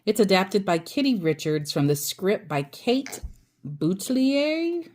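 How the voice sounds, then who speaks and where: A woman reading a children's picture book aloud in an expressive, deliberate storyteller's manner. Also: medium pitch.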